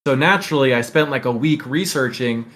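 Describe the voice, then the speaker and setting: A man's voice: medium pitch, brisk, a bit bright. A man co-hosting a podcast in casual, conversational talk. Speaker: podcast co-host.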